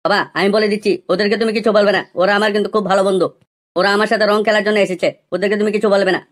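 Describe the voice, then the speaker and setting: A woman's voice: mid-range voice, level tone, rapid-fire, close to an everyday voice. A woman voicing cheeky, brash young village lads in an amateur comedy cartoon, with slangy, crude and insistent talk. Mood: pleading, insistent.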